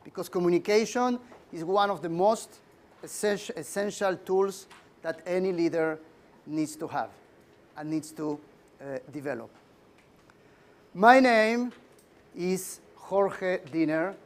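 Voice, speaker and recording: A middle-aged man from Argentina with an Argentine Spanish accent, a native Spanish speaker, giving an emphatic leadership lecture with frequent "uh" pauses. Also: high voice. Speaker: guest speaker. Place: lecture room.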